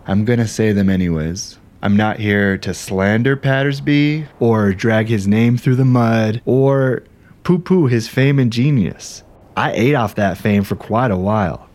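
An actor playing an aggrieved, self-dramatizing ghostwriter in a conversational, wry, indignant audio drama monologue. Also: male voice, fairly low pitch, a little slow, darkish tone, natural voice. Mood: confessional, bitter. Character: aggrieved, self-dramatizing male ghostwriter telling his grievances. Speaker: narrator and protagonist.

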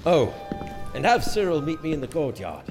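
An actor playing a smug, self-assured sheriff in a medieval audio drama, talking in an urbane, teasing manner. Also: male voice, fairly high pitch, sing-song, normal speed. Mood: smug, playful. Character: smug, confident male sheriff, a prince's trusted friend. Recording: reverberant hall with faint music behind.

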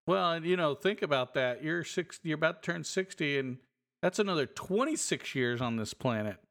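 A man's voice: fairly high pitch, animated, moderate pace, a bit bright. Agreeable man with many fillers. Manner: agreeable, filler-heavy talker. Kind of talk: casual podcast chat.

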